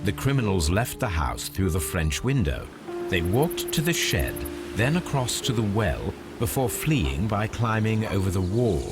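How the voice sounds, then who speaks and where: British gentleman sleuth: low, very varied intonation, precise, analytical, video game, music underneath. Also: sing-song. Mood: musing, analytical. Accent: British English. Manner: precise, measured, formal. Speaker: video game lead character. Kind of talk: scripted game dialogue and musing aloud.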